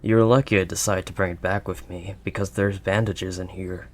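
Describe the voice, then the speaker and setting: A man voicing a gruff but kindly werewolf of the wild woods in an intimate audio roleplay, with a halting, gently protective manner. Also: low-pitched, fairly even intonation, natural voice. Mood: gentle, awkward. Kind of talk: audio roleplay, intimate second-person acting.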